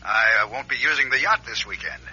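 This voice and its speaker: An actor playing a sly executive on the telephone in a radio drama, breezy and evasive with hesitant pauses. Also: male voice, mid-range voice, lively intonation, sharp tone, slight background noise. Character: sly former boss hinting at foul play. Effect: telephone filter. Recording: tinny telephone effect, lo-fi radio recording.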